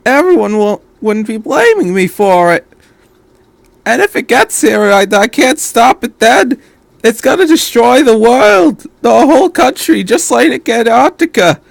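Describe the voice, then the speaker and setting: A man's voice: extremely high pitch, sing-song, unhurried, vocal fry, dry recording, pitched above the performer's own voice, full character voice. A man voicing a distraught, guilt-ridden teenage boy in a fan story, pleading and stammering shakily. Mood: guilty, panicked.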